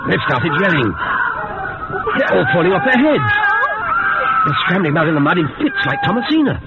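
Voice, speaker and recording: An actor playing an obsessed, overwrought scientist in a radio horror drama, excitable, urgent and imperious as he commands his subjects. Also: high voice, fairly quick, narrow, tinny audio, heavy background noise, full character voice. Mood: frantic.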